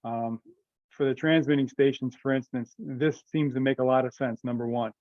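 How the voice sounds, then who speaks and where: A man's voice: mid-range voice, fairly even intonation, normal speed, dry recording. Man on a dull conference-call line. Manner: tentative, thinking aloud, consensus-seeking. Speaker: presenter in a committee teleconference. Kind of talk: informal meeting discussion, explaining a proposal.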